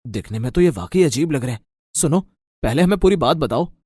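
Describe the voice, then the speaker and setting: Man as a lively, blunt village farmer. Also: medium pitch, brisk, slightly rough, no room sound, clean studio sound, voice pushed down. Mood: sceptical. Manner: blunt, questioning. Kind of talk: animated moral story dialogue.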